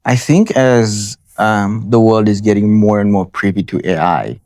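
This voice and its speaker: A young man from Nepal, answering interview questions in a relaxed way, often restarting phrases with ums. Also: fairly low pitch, measured pace, no room sound, clean studio sound. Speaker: interviewee, account manager.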